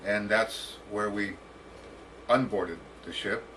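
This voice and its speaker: American male academic, about 60. Bright, forceful voice, deliberate storytelling, recorded at some distance with faint noise. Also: on the low side, steady tone. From United States, Detroit. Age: around 60. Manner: deliberate, halting, formal phrasing. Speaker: professor, interview guest. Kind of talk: autobiographical storytelling.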